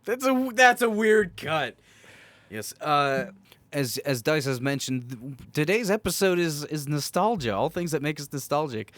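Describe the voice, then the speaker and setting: Lively, teasing man with expressive pitch. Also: a little slow, no echo. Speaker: podcast co-host. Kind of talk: casual banter.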